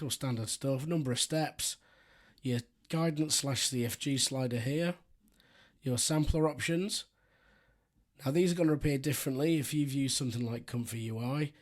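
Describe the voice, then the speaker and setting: A man with a sore, hoarse throat and a raspy voice, talking through a software walkthrough in a relaxed, rambling way with frequent ums. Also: mid-range voice. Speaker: software tutorial host. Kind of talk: screen-recorded software walkthrough.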